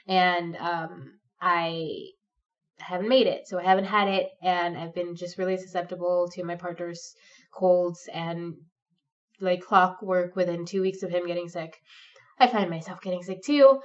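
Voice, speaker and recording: Woman in her thirties, stuffy head cold, rambling with lots of ums, lowish voice, set back from the mic. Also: steady tone, normal speed. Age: 30s. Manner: rambling, hesitant, many ums and likes. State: congested with a cold, sniffly. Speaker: pagan vlogger. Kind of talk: unscripted rambling monologue. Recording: distant, dull lo-fi sound.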